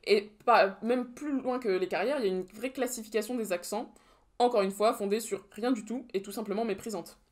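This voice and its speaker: A woman's voice: mid-range voice, a little fast, a little mellow. A young woman co-hosting a podcast, talking through prepared points in casual chat with a dry, ironic edge. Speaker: podcast co-host.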